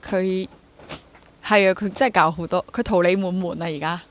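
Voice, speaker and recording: A woman's voice: fairly low pitch, fairly even intonation, normal speed. A woman in her twenties with a Hong Kong Cantonese accent, talking casually and reflectively as an interview guest and mixing in English words. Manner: mixes English words into speech. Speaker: interview guest, stage actor and drama teacher.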